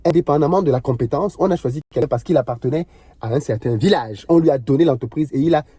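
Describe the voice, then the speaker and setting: A man's voice: on the high side, fast talker, mellow, breathy edge, no echo. A man in a panel discussion who argues earnestly and insistently, often with rhetorical questions. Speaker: discussion participant.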